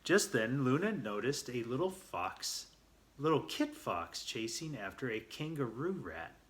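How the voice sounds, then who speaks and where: A man telling a children's story aloud, reading a little haltingly and repeating words as he goes. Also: mid-range voice, big pitch swings, fairly bright tone, slight background noise.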